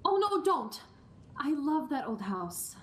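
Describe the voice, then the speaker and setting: Teenage small-town sweetheart for radio drama female actor, dreamy, high voice, faint hiss behind. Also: sing-song, takes it slowly, fairly bright tone, exaggerated voice. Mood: sweet, wistful. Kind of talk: live radio play. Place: large school auditorium stage.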